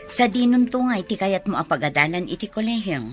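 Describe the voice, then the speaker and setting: A woman's voice: mid-range voice, animated, a little fast, music in the background, close to an everyday voice. An actress playing a caring, concerned middle-aged mother in a radio drama, with a Filipino accent. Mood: tender concern. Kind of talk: Ilocano radio drama acting.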